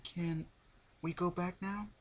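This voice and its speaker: A man voicing a timid, nervous, gentle young female pony with a hesitant manner. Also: high-pitched, fairly even intonation, slow, pitched above the performer's own voice. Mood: nervous, frightened.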